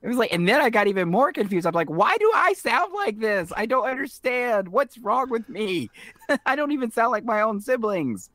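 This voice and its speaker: A man's voice: very high-pitched, sing-song, normal speed, fairly bright tone, no room sound. A man from the American South co-hosting a podcast in casual, chatty film talk with a wry manner. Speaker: podcast co-host. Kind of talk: casual film recap chat.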